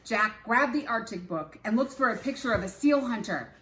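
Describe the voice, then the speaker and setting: A woman reading a children's book aloud as a teacher, in deliberate, expressive storytelling phrasing with animated character voices. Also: fairly high pitch, reverberant, low-quality recording.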